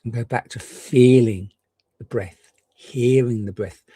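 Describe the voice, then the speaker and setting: A man gently and reassuringly guiding a meditation, leaving unhurried pauses between short phrases. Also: medium pitch, steady tone, slightly rough, no echo. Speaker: meditation guide. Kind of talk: guided meditation.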